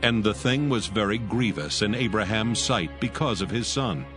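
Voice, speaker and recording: A man narrating a dramatized scripture reading in an even, measured way. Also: on the low side. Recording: music bed behind.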